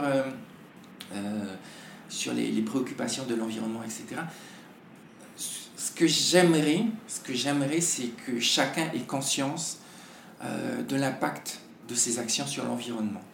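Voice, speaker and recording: A hoarse man, a podcast guest, talking in a halting way with many restarts. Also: medium pitch, animated, reverberant, a little hiss or hum. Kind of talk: casual conversation.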